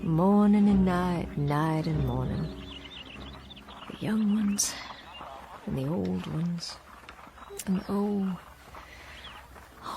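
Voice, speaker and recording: Radio drama, frail grieving wife: very slow, halting delivery, weary and wistful, under prominent music. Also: female voice, on the low side. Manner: halting, repeats herself wistfully.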